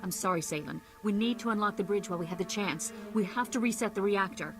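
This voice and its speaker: A woman's voice: mid-range voice, fast, music in the background, natural voice. A woman playing an urgent, coldly pragmatic diplomat with a commanding, formal manner in a video game.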